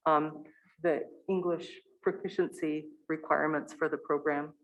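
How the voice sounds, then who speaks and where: A woman's voice: low-pitched, fairly even intonation, measured pace. A woman coordinating a visiting group who makes polite, hesitant requests at a meeting, with many uhs. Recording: dull lo-fi sound.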